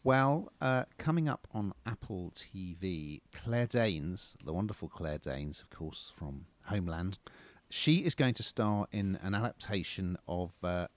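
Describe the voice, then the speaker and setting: A man's voice: low-pitched, lively intonation, a little slow, hardly any pauses, no room sound. A man co-hosting a TV and film review show, chatting with dry, wry humour. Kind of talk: casual review chat.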